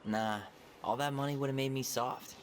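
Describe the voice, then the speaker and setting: An actor playing a guarded, dry-witted young American brother in naturalistic video game dialogue. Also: male voice, medium pitch, steady tone, normal speed, lightly acted. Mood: wistful, easygoing. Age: young adult. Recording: noisy ambient background.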